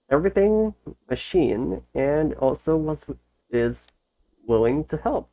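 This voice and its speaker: A man with a mellow, relaxed tone and an average pitch hosts a casual reaction video. He reads text aloud and comments in an informal way. His reading is a bit halting, as he sometimes repeats a phrase to get it right. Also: rough recording.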